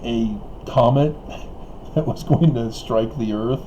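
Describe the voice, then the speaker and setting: Casting: unhurried man with a mellow, slightly hoarse voice, chuckling between remarks, dull lo-fi sound. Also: medium pitch, slight background noise. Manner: hesitant, many fillers and restarts. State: losing his voice, coughing. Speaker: online video commentator. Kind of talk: rambling solo monologue.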